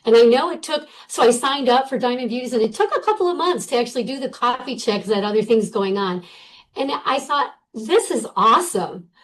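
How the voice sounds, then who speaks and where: A woman's voice: on the high side, lively intonation, fairly quick. A woman guest on a video call who tells her story in a bubbly, appreciative way. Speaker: summit guest speaker.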